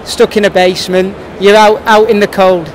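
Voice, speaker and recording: A man's voice: high-pitched, flat delivery, normal speed. A man asking questions as an interviewer in a casual, chatty style. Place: indoor trade show. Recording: noisy trade show hall, background ambience.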